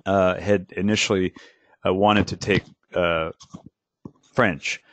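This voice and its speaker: Teacher guy on a video panel, low voice, stop-start with lots of ums, dry sound. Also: little pitch movement, a bit bright, no echo. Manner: halting, many restarts and fillers. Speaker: language teacher, panel co-host. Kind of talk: casual panel discussion.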